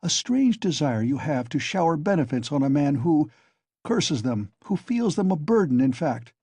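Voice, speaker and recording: A man's voice: fairly high pitch, big pitch swings, moderate pace, mellow, husky, no echo, full character voice. A man reading an audiobook, voicing a feverish, bitter young male student who taunts with venom. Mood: exasperated.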